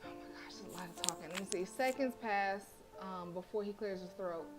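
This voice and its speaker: A woman's voice: medium pitch, brisk, music in the background. A woman streaming a visual novel, reading the story aloud and reacting with brash, profane, slangy commentary. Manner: brash, animated and profane, with slangy trash talk.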